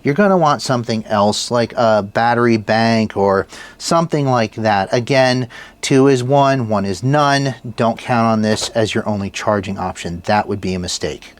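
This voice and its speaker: A man's voice: lowish voice, normal speed. A man giving a casual gear review in a plain-spoken, folksy way, as the host talking to viewers. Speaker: product reviewer and channel host.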